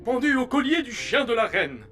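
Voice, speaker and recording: A man voicing a hesitant, soft-hearted royal guard in a melodramatic, faltering way for a French animated film dub. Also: very high voice, normal speed, faint music behind, full character voice. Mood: wry, matter-of-fact.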